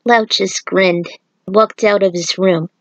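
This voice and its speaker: A woman's voice: mid-range voice, fairly even intonation, a little slow, dry recording, dull audio. A woman reading scripted fan fiction aloud as the narrator of a story.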